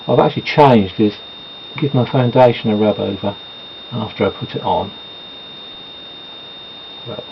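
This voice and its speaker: A man's voice: on the low side, moderate pace, gravelly, long pauses. A man chatting casually and meanderingly at home while doing his makeup, with self-deprecating asides. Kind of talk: rambling casual chat. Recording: dull lo-fi home recording with noise.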